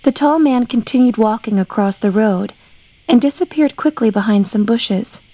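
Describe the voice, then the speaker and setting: A woman's voice: a little high, normal speed, dark tone, low-quality recording. A woman reading a scripted scary story as its narrator.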